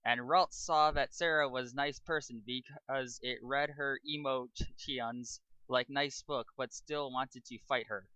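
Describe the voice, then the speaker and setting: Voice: medium pitch, steady tone, a little slow, few pauses, sharp tone, dry recording, low-quality recording. A narrator giving an amateur dramatic reading of fan fiction.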